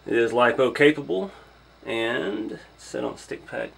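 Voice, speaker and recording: A man's voice: medium pitch, animated, a little slow, creaky, slightly rough, rough recording. A man giving an informal hands-on first look as a hobby reviewer, thinking aloud as he goes. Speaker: hobby vlogger reviewing an RC truck.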